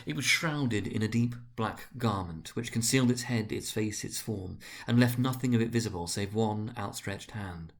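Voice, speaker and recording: A man narrating a story dramatically, with a grave, deliberate and theatrical delivery. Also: lowish voice.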